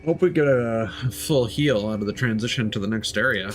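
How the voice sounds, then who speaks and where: A video game streamer giving casual, playful live commentary with drawn-out, silly-worded reactions. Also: mid-range voice. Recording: music behind the voice. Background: video game music and sounds.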